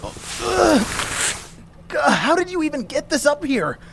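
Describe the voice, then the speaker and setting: A woman's voice: high voice, sing-song, slow, heavily acted. A woman playing a flustered, put-upon helper at a boarding house, hesitant and grumbling. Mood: exasperated. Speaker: actor in audio drama. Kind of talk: naturalistic audio drama acting. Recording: clear background noise.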